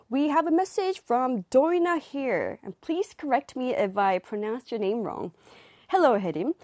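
A woman's voice: fairly high pitch, darkish tone, no echo. A woman DJ hosting a live radio show in the studio, reading out listener messages in a bubbly, nervous way on her first broadcast.

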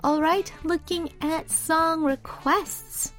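A woman's voice: very high voice, takes it slowly, soft background music. A woman radio DJ with an unusual accent, chatting casually and reading listener messages aloud between songs. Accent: American English. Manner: chatty, relaxed, with fillers. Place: radio studio. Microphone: studio microphone.

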